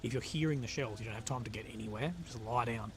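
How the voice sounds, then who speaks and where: Man, live-stream host, mid-pitched voice, blunt and casual, noisy outdoor feed with traffic behind. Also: fast talker. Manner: blunt and swearing, many fillers and restarts. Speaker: livestream host reading chat questions. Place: outdoors in a conflict zone.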